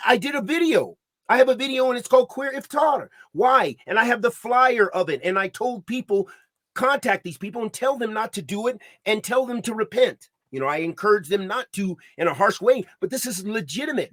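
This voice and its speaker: Emphatic man, brightish voice, dry sound. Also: sits high, lively intonation, normal speed, no room sound. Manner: emphatic and preachy, with frequent restarts and repetition; peppers speech with Arabic religious terms. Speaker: podcast co-host. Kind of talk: impassioned podcast discussion.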